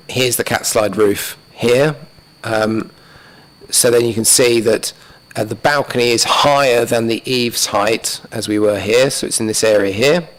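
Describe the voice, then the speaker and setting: A man presenting a planning application to a council committee, talking methodically through slides while losing his voice and clearing his throat. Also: mid-range voice, fairly bright tone, rough recording. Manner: methodical, many fillers and restarts, talks through slides. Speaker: planning officer. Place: council chamber.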